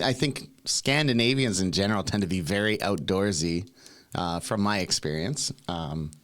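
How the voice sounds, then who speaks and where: A man hosting a podcast, asking friendly, rambling questions full of fillers. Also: low-pitched. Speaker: podcast host. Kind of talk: casual interview chat.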